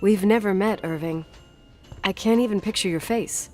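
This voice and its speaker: A woman's voice: medium pitch, moderate pace, a little mellow, faint music behind, natural voice. An actress playing a strong-willed young woman in a video game, guarded and dryly teasing, haunted by her past. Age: young adult. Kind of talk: naturalistic video game voice acting.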